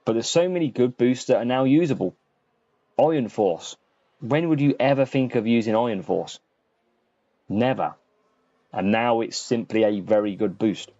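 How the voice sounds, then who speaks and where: A man's voice: mid-range voice, moderate pace, long pauses, dark tone, dry recording. A man casually commentating on a live gaming stream, chatting to viewers and addressing them by name. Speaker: streamer and host of a gaming channel. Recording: muffled lo-fi sound, music behind. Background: faint background music.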